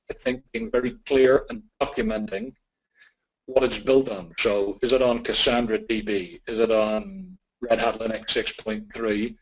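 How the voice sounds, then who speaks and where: A man with an Irish accent answering questions as a technology expert, speaking in a deliberate, measured way with emphatic repetition. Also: mid-range voice, low-quality recording. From Ireland.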